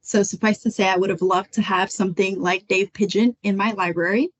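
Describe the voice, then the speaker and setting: A young adult woman from Florida giving a measured, prepared book talk over a video call. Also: medium pitch, fairly even intonation. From United States (Florida). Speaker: book selection editor. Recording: muffled dull audio.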